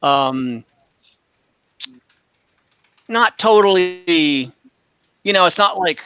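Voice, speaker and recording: A man narrating an online fantasy tabletop game as game master, explaining things in a casual, halting way. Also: mid-range voice, a bit bright, clear, resonant tone, muffled recording.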